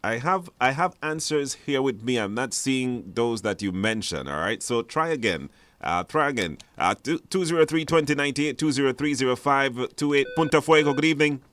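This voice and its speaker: Man, radio host, mid-pitched, conversational, repeats himself for emphasis, close dry mic. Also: animated, moderate pace, a bit bright, no room sound. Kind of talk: live radio hosting, quizzing callers.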